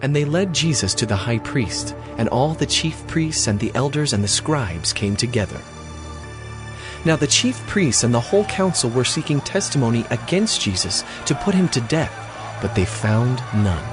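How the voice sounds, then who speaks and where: A man's voice: medium pitch, sing-song, normal speed. A man giving solemn, scripted narration of scripture. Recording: loud dramatic music underneath.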